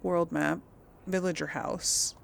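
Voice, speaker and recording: A woman's voice: fairly low pitch, steady tone, slow pace, creaky, slight background noise. A woman doing casual let's play commentary, chatting and musing aloud as she plays. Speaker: game streamer, role-player. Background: game ambience.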